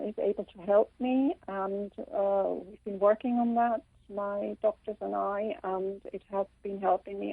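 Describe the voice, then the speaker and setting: Gravelly Swiss woman on a phone line. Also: medium pitch, no echo. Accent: slight Irish. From Switzerland. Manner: halting, full of ums and restarts. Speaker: guest author. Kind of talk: phone-in radio interview. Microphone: telephone.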